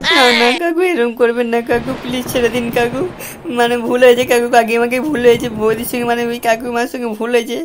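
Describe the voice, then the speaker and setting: A woman plays an excitable young cartoon man. He talks fast in a high, whiny, stammering voice, sheepish and pleading, over clear background noise. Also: close to an everyday voice. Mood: pleading panic. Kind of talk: comic cartoon dialogue. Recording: noisy with clicks.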